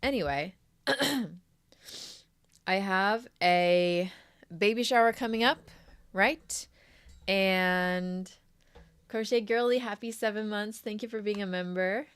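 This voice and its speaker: A young adult woman hosting a crochet live stream, chatty and friendly with viewers while sick with a sore throat, often clearing her throat. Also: mid-range voice, slow, long pauses. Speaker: crochet livestream host. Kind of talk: casual live stream chat.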